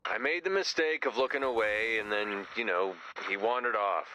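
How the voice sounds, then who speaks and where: An actor playing a dry, sardonic but loyal rock musician in a video game, speaking with wry sarcasm. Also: male voice, fairly low pitch, moderate pace, bright, forceful tone, slight background noise, rough recording. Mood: dry, pointed.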